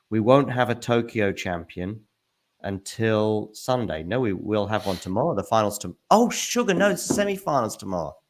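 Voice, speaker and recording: A man hosting a casual podcast chat, self-deprecating and chatty. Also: lowish voice. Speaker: podcast host.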